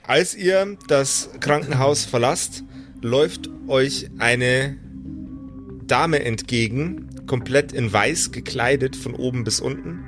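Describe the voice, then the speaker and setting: A man narrating an improvised tabletop game as game master, measured and pausing mid-sentence. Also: mid-range voice, music in the background.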